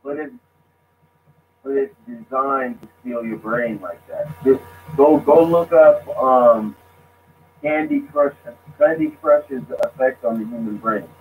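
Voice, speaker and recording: A man speaking casually as a guest, halting and restarting his sentences. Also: mid-range voice, very animated. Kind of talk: casual discussion. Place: lives abroad. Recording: tinny phone line with faint noise.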